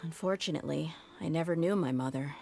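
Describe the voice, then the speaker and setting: Brief: a candid, deep-voiced female sellsword who speaks plainly. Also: fast talker, creaky, warm tone, faint music behind. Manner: frank, wry, matter-of-fact. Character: tough young female mercenary, blunt and candid. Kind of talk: video game cutscene dialogue.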